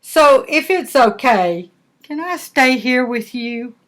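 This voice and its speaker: A woman voicing a lonely, polite crocodile who pleads gently in a children's storybook. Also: high-pitched, lively intonation, takes it slowly, pitched above the performer's own voice, heavily acted. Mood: lonely, pleading. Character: lonely, polite homeless crocodile asking to stay.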